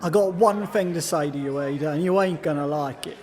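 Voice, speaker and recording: A performer playing an indignant, rough British working-class man in a comic radio play quarrel, blunt and aggrieved. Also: medium pitch, fairly quick, a little mellow, long reverb tail, faint music behind, natural voice. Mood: accusing.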